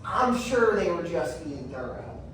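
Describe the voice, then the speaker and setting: Unhurried female voice, defensive official played by an actress, hesitant, placating, stage drama, distant echoey room. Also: fairly low pitch, sing-song. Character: defensive, evasive official excusing harsh child therapy. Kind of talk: competitive stage readers theatre. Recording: echoey room, distant mic, faint noise.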